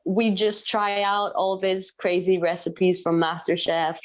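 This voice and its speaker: A woman's voice: lowish voice, steady tone, a little slow. A woman from Greece, a guest on a podcast, telling stories in a cheerful way and laughing often. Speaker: guest musician. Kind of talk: casual interview chat.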